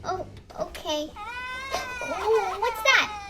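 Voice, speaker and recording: Tiny, squeaky, timid bunny girl. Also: expressive, drawn-out words, background noise, exaggerated voice. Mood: nervous. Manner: nervous, hesitant, childlike. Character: Ginger, timid little bunny sister, small child.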